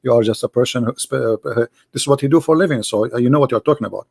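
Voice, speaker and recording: A man hosting a live debate talks quickly, in a gravelly voice. The recording is dry, with no room sound. He keeps pressing his guest with pointed, repeated questions. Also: mid-range voice, darkish tone, dry recording.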